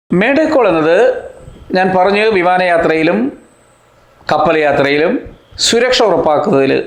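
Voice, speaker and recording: Higher-voiced man explaining, echoey room. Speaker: presenter of an educational video channel. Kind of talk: explanatory narration. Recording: roomy, echoing voice-over.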